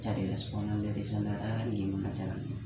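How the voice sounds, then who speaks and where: A man's voice: fairly low pitch, completely flat delivery, a little fast. A young man with a Medan accent from Indonesia, speaking hesitantly as he talks over personal problems in a counselling conversation. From Medan, North Sumatra, Indonesia. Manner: hesitant, repeats phrases. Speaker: client in a practice counselling session. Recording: very echoey, muffled, faint background noise.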